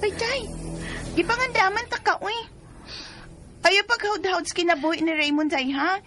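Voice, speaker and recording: A woman's voice: very high voice, moderate pace, music in the background. A woman acting a brazen, taunting mistress in a Filipino radio drama, mocking and provocative. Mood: menacing mockery.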